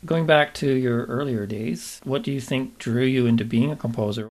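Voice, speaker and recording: A man's voice: medium pitch, normal speed, darkish tone. Encouraging male interviewer. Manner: encouraging, backchannels, laughs along. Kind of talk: interview conversation.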